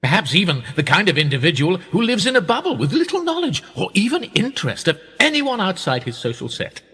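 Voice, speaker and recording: Man playing rich British gentleman, bright husky voice, ironic and urbane, self-mocking, radio play, long reverb. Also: sits high, very animated, a little fast, exaggerated voice. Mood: sardonic, self-mocking. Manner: urbane, wry, rhetorical, gentlemanly formal speech. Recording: reverberant room with long echo.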